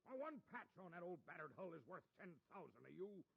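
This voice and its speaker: An actor playing a gruff, proud old freighter captain in a 1950s radio drama, folksy, indignant and blustering. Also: male voice, high voice, big pitch swings, fairly quick, lo-fi sound, full character voice. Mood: furious, indignant. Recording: vintage radio drama broadcast.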